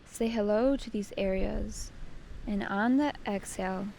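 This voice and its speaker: A woman leading a guided meditation with gentle, soothing, unhurried instruction. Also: mid-range voice, vocal fry, slight background noise, poor audio.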